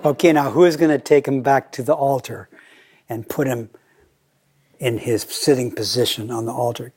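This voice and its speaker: An older American man giving a Zen dharma talk, playful and deliberate, often slowing down and repeating his phrases. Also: medium pitch, fairly even intonation, darkish tone, husky. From United States. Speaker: Zen teacher.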